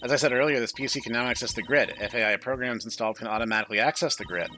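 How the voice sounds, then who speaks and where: A bright, brisk and blunt computer-virus girl from a visual novel, voiced by a man. She is cocky and exact, stating everything as plain machine logic, with music behind her. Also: mid-range voice, close to an everyday voice. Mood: matter-of-fact. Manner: matter-of-fact, precise, brisk. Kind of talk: visual novel character read-aloud.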